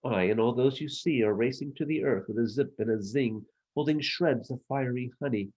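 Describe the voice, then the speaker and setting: A man's voice: medium pitch, a little mellow, lo-fi sound, close to an everyday voice. A man voicing a frantically busy sunbeam bee in a children's storybook, chattering in zippy phrases. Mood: busy, boastful. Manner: rapid, buzzy alliterative chatter.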